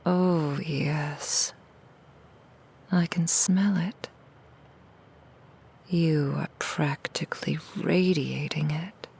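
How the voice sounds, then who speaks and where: A woman voicing a seductive, cruel female demon in an ASMR roleplay, in a slow, breathy whisper full of mocking, intimate taunts. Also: low-pitched, steady tone, darkish tone, exaggerated voice. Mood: cruel taunting.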